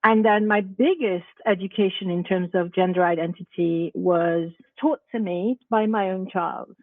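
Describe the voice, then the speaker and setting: A woman's voice: mid-range voice, fairly even intonation, measured pace, dry recording. A woman whose first language is not English, a parent guest telling her own story emphatically in a podcast discussion. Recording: tinny phone-like line.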